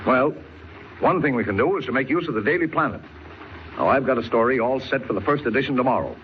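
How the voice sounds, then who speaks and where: A man's voice: mid-range voice, animated, quick pace, lightly acted. An actor playing a brusque, headstrong male newspaper editor in 1940s radio style, barking and insistent. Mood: brisk. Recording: muffled, hissy old radio recording.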